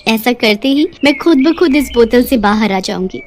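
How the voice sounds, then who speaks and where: A woman voicing a kind, grateful fairy in an animated moral story, speaking in an earnest, pleading and explanatory way. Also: fairly high pitch, moderate pace, slight background noise, close to an everyday voice.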